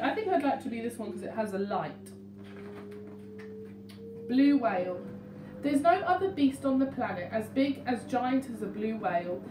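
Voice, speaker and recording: Expressive high-voiced woman reading to children. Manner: expressive, questioning, addresses young listeners. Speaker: teacher reading to young children. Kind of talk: interactive children's picture book reading. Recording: roomy, distant mic, faint noise.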